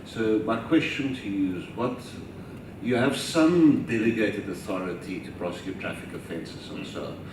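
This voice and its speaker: A man from the audience asking a formal, precise question after a halting start. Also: on the low side, expressive. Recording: echoey hall, distant mic, noisy.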